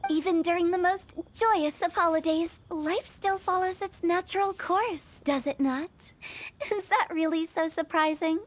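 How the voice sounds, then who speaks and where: A woman's voice: very high voice, a little slow. An actress playing a playful, eccentric young female funeral parlour director in a game, chatty, teasing and theatrical. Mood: cheeky, breezy. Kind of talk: animated game voice acting. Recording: clear music bed behind voice.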